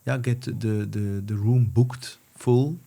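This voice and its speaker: A man hosts a relaxed interview, his voice mellow and soft-edged. He talks haltingly, circling back and restarting his sentences as he gropes for words. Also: mid-range voice, gravelly. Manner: halting, many restarts and fillers. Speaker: podcast host. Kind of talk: casual interview.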